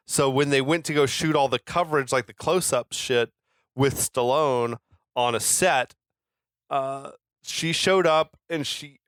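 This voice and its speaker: A man's voice: mid-range voice, a bit bright, smooth, dry recording. A man co-hosting a podcast, chatting casually about film and telling stories in profane, slangy language. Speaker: podcast co-host.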